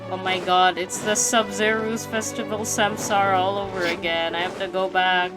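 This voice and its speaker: A woman streamer giving casual, slangy, expressive reactions to a game, exclaiming often, with game music behind her. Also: medium pitch, moderate pace, low-quality recording.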